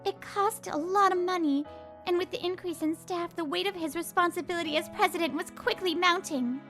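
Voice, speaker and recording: A blunt, fierce woman from a manga video who tears into the man who wronged her, as a woman acts her out. Her voice is very high, with music playing clearly underneath. She scolds sharply and speaks softly when she confides. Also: a little fast. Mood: angry.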